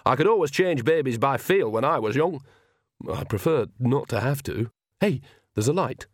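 A man's voice: mid-range voice, lively intonation, normal speed, no room sound, clean studio sound, pitched above the performer's own voice. A man voicing a brash, cheerful old witch woman who gives orders bluntly in a storybook reading. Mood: breezy. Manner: breezy, blunt and commanding.

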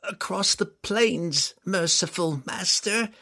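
A man's voice: high voice, slow pace, gravelly, pushed and bright, pitched above the performer's own voice, exaggerated voice. A man voicing a small, cowering male demon servant in an audiobook, whimpering and pleading. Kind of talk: audiobook character voice.

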